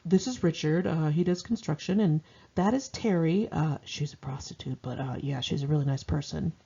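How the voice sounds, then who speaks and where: An American woman voicing a casual, middle-aged father who talks haltingly and nonchalantly in a memoir reading. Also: low-pitched, creaky, mellow, husky, muffled recording, full character voice. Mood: awkwardly nonchalant. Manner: halting, nonchalant, mumbly filler words. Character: narrator's casual, hesitant middle-aged father introducing shady housemates.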